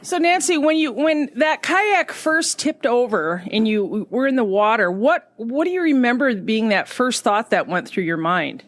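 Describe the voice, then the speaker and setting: A woman's voice: on the high side, lively intonation, unhurried, few pauses, no echo. A woman hosting a casual radio interview, talking in a chatty, rambling way with an Upper Midwest American accent. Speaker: radio show host.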